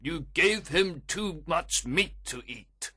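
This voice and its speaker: A man voicing a pompous middle-aged workhouse official in a storybook reading, booming and self-assured, with a husky voice. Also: animated, slow, pushed and bright, full character voice.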